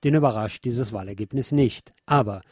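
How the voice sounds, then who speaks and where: A man's voice: mid-range voice, moderate pace, darkish tone, breathy edge, no echo, studio quality. A man reading scripted news and press reports as a radio presenter.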